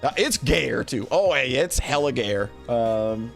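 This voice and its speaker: A man giving casual, rambling livestream commentary, thinking aloud in an informal, halting way. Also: medium pitch, expressive, vocal fry, fairly bright tone, soft background music. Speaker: streamer hosting a tier list of games.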